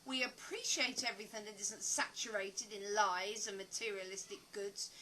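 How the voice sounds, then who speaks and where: A woman's voice: medium pitch, normal speed, pushed and bright, slight background noise, natural voice, poor audio. An actress playing an earnest, philosophical woman survivor in an audio play, with reflective, dramatic phrasing. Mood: earnest, intense.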